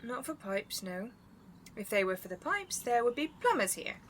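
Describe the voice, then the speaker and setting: An actress playing a suspicious, stubborn and blunt long-time resident in a naturalistic audio drama. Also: female voice, on the high side, big pitch swings, normal speed, fairly bright tone, a little hiss or hum. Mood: brisk, defensive.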